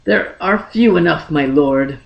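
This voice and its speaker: A man's voice: on the high side, a little slow, creaky, muffled recording, pitched below the performer's own voice. A man voicing a brooding, melancholy young emperor in a fantasy audiobook, grave, formal and fatalistic. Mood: melancholy, ironic. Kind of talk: dramatic fantasy audiobook character reading.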